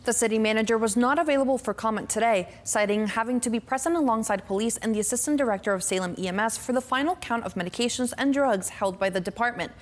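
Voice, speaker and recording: A woman's voice: on the high side, fast, few pauses. A woman narrating a scripted television news report as a reporter.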